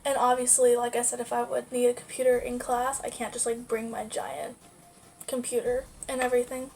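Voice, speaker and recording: Casting: young woman, high voice, nasal and stuffy from a cold, rambling casual vlog chat, faint music underneath. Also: little pitch movement, fairly quick, darkish tone. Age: young adult. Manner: rambling, lots of "like" fillers. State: stuffy-nosed from a sinus infection. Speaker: vlogger. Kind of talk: casual life-update chat.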